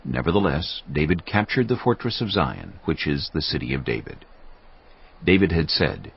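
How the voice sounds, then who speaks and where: A man with a gravelly, husky voice giving solemn, measured scripted narration of a dramatized Bible. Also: rumbling low, expressive, muffled recording.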